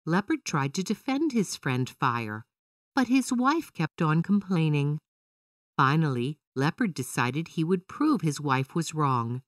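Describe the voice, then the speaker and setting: Female children's storyteller: scripted narration, animated intonation, dry sound, a little distant from the mic. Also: low voice, dry recording.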